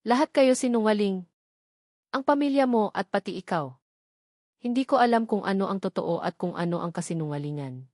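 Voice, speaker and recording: A woman's voice: mid-range voice, animated, normal speed, long pauses, darkish tone, no room sound, studio quality, natural voice. A woman voicing a heartbroken, betrayed young woman in an audio romance drama, accusing and emotional. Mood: hurt, angry.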